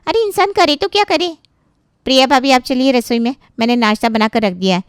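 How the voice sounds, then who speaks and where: A woman's voice: high voice, a little fast, smooth, no room sound, studio quality, close to an everyday voice. A woman playing a brash, defiant hired cook who complains and talks back in a family story. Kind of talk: dramatized Hindi family story dialogue.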